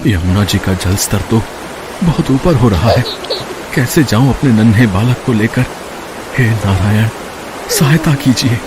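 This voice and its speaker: A man's voice: fairly low pitch, expressive, a little slow, long pauses, noisy background. A man playing a noble, devout captive husband and father in a mythological story, earnest and dramatic. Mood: worried. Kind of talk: Hindi mythological audio story acting.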